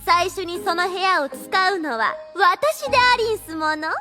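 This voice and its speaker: Haughty vampire girl, very high. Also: lively intonation, a little slow, over music. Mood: smug. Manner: archaic courtesan-style diction, taunting. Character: haughty vampire girl with archaic courtesan speech. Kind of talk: Japanese anime audio drama acting.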